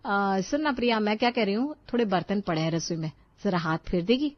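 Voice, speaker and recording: A woman voicing a brisk, evasive elder sister-in-law in a story, casual and coaxing. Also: mid-range voice, highs cut off, natural voice.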